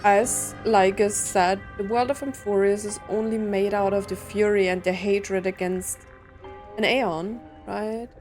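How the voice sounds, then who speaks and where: A woman streaming a game in a mid-pitched voice, talking slowly and casually. She thinks out loud and puts questions to herself while music plays behind her. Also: steady tone. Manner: musing aloud, asking herself questions. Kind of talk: casual playthrough commentary.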